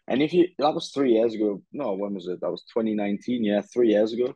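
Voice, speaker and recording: Young man, low mellow voice, laid-back, casual swearing, muffled dry video-call audio. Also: fast talker, breathy edge, no echo. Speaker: podcast host. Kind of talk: casual fight-recap chat.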